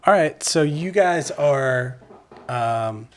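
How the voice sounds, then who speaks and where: A man's voice: on the high side, animated, drawn-out words. A man hosting a podcast interview, putting questions to his guests in an informal, slightly tentative way. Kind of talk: casual interview, relaying questions.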